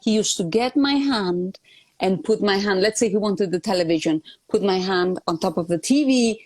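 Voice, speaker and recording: A woman from Barcelona with a Spanish Catalan accent talks as an interview guest. She speaks earnestly and fills pauses with restarts. The sound is close and dry, with no room at all. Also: mid-range voice, a little mellow, dry recording. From Spain (Barcelona). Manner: earnest, with frequent fillers and restarts. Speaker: guest, education consultant. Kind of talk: conversational interview.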